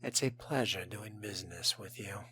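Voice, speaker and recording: A man role-playing a smooth, menacing, haughty young vampire in a game, speaking in a measured, theatrical, formal way. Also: fairly low pitch, noisy background, close to an everyday voice. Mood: haughty, dissatisfied. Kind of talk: in-character role-play game commentary.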